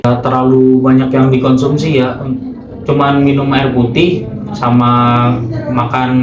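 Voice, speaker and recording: A man in his early twenties giving hesitant, casual answers as an interviewee. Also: medium pitch. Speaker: interviewee in a group discussion. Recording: distant, echoey, dull noisy recording.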